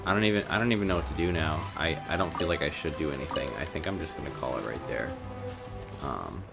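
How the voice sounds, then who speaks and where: A man's voice: extremely low pitch, fast talker, vocal fry. A man streaming a video game live, commenting casually in a laid-back, informal way. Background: game music.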